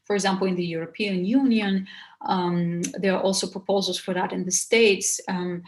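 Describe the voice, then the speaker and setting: A woman presents informally at a seminar. Her voice sits at a middling pitch. She moves haltingly through her points, with frequent hesitations as she explains. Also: steady tone. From Bulgaria. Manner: hesitant, halting delivery with many pauses.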